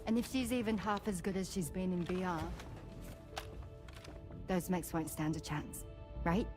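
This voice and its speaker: A woman's voice: on the low side, unhurried, over music, close to an everyday voice. Assured crew woman played by an actress. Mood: confident. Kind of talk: video game cutscene acting.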